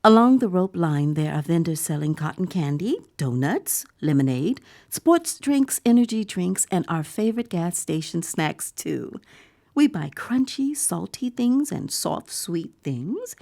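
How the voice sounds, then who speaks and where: An actress playing a naive visitor who narrates a horror audio drama in a deliberate, breathy voice that slips toward whispering. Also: female voice, fairly low pitch, big pitch swings, a little mellow. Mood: dreamy, eager, then hushed anticipation. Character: dog-loving visitor narrating in a collective "we", naive, turning horrified. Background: music score.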